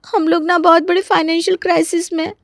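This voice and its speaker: A woman voicing an indignant, sharp-tongued married landlady who scolds and exclaims in a comic story. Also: very high voice, level tone, quick pace, no echo, studio quality. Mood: flustered. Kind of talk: Hindi comic story dramatization.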